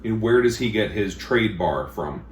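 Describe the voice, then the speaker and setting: A man who teaches blacksmithing, talking in a casual interview with a booming, emphatic way of explaining things at length. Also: expressive, a little slow. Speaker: blacksmith instructor. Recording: echoey room with faint noise.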